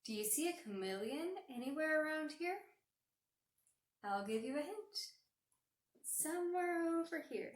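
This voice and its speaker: A woman hosting an interactive storytime for young children, enunciating in an animated, exaggerated way in an indoor room. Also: high-pitched, moderate pace, long pauses. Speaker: children's librarian, storytime host. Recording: echoey room.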